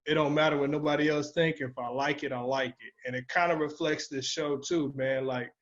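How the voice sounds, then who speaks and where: Male podcast co-host, slightly high voice, loose slangy chat with swearing, slightly dull recording. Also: fairly even intonation, a little fast. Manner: informal and slangy, swears freely. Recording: dull, lo-fi audio.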